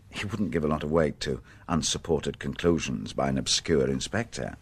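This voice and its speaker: A shrewd police inspector in a radio play, with a low voice that moves freely up and down. He is dogged yet deferential, choosing understated, guarded words. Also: animated, moderate pace, breathy edge, full character voice. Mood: respectful, insistent. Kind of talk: radio drama acting.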